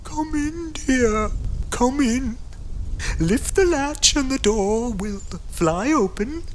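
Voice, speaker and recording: A woman's voice: high voice, expressive, a little hiss or hum. A woman playing a thin, weak old grandmother who coaxes sweetly in an audio drama. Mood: feeble, welcoming. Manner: slow, coaxing endearments.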